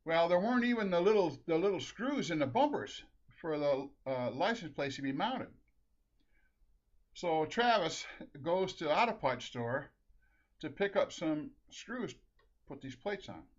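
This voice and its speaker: A man's voice: a little high, lively intonation, measured pace. A middle-aged man from Minnesota telling a rambling family anecdote, laughing often and restarting his sentences. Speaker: storyteller. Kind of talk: casual anecdote. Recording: dull lo-fi recording.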